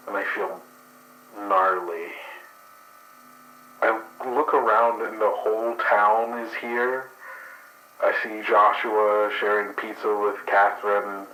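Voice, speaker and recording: A man's voice: fairly low pitch, flat delivery, takes it slowly, pauses often, pushed and bright, echoey room, a little hiss or hum, low-quality recording. A man voicing a crude, irritable man with skunk traits in an actual play podcast, talking in a profane, sardonic way. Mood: hushed.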